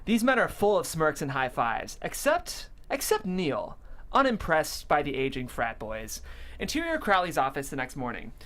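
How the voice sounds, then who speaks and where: A woman's voice: low voice, very animated, normal speed, a bit bright. A woman reading stage directions as scripted narration at a comedy table read.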